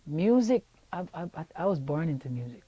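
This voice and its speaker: A man's voice: a little high, big pitch swings, moderate pace, dark tone, breathy edge, highs cut off. A young Jamaican man in an interview, talking in an easygoing way with lots of fillers and repeated words, on a lapel mic in a studio room. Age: young adult. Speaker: singer being interviewed. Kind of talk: relaxed interview chat. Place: radio station studio room.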